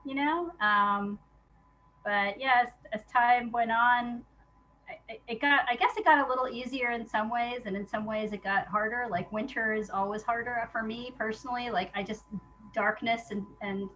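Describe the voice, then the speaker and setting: A woman's voice: fairly high pitch, fairly even intonation, moderate pace, a bit bright, rough recording. A woman speaking as an interview guest in a casual, reflective way, candid and hesitant, often restarting her thoughts. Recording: noticeable background noise.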